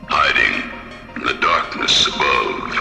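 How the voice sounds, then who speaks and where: An actor playing an ancient, imperious alien high priest in a science fiction radio drama, speaking in a solemn, portentous manner. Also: male voice, expressive, gravelly, sharp tone, full character voice. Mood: sinister, commanding. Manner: slow, portentous, formal. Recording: cavernous echo, music underneath.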